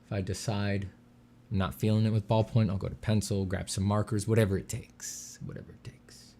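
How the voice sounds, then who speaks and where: A man streaming while he draws, chatting casually with dry deadpan jokes and a slight slur from a sore mouth. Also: fairly low pitch, brisk, slight background noise. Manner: dry deadpan joking, relaxed and rambling. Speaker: artist streaming a drawing session. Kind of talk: casual livestream chat.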